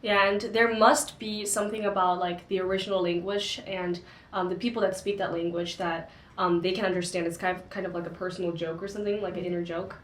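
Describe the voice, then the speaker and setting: A woman's voice: lowish voice, steady tone, fairly quick, a little hiss or hum. A young woman co-hosting a casual book discussion, talking in a chatty way with lots of filler words. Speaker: co-host of a book discussion channel. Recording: echoey room.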